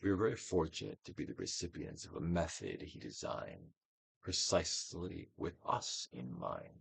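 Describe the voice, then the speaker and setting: A man reading a book aloud as a theatrical dramatic performance, in a solemn, deliberate, declamatory manner. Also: sits low, big pitch swings, vocal fry, breathy edge, no room sound. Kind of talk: dramatic audiobook reading, theatrical Shakespearean delivery.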